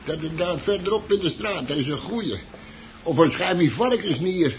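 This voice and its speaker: A man's voice: high-pitched, lively intonation, normal speed. An actor playing a folksy, insistent man in a radio play, colloquial and deferential in manner. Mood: chummy, obliging. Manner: colloquial, deferential, repeatedly saying sir. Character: folksy, insistent man giving away a strange creature. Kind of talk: Dutch radio play acting. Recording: dull, noisy old radio play recording.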